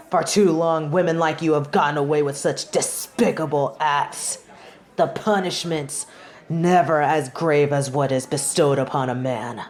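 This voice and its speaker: Low female voice, woman acting as haughty young witchy judge, imperious, formal, theatrical, audio roleplay drama. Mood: stern, righteous. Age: young adult.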